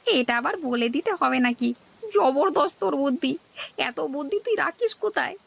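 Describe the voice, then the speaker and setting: A woman's voice: high-pitched, lively intonation, moderate pace, vocal fry, exaggerated voice. A woman voicing a naive, eager male village fish seller in a cartoon, with a questioning, simple-minded manner. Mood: amazed, delighted. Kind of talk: cartoon dialogue.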